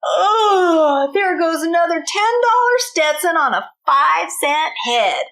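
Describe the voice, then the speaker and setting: A spirited young cowgirl rancher from a storybook, played by a woman reading aloud, high and swooping in pitch. She is bold and gushing, exclaiming in folksy Western phrases. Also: lively intonation, slow, fairly bright tone, exaggerated voice, rough recording. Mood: exasperated.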